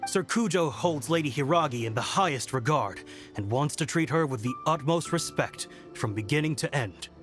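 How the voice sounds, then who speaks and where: A man's voice: fairly high pitch, expressive, moderate pace, a bit bright. A man voicing a courteous, dutiful guard in a video game, formal and reassuring. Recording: game music behind the voice.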